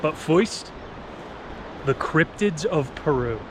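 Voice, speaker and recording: A man's voice: fairly high pitch, lively intonation, takes it slowly, noisy background. A man from New Jersey with an American accent, chatting and telling stories in a casual, slangy, playful way as a travel vlogger. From New Jersey, United States. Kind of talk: casual vlog chat with storytelling. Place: outdoors, camping.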